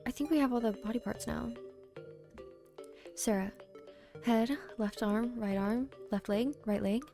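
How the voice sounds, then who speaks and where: A woman's voice: a little high, a little slow, gravelly, warm tone, faint music behind. A woman streamer casually reading a game aloud as she plays through it. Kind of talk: game playthrough narration, casual.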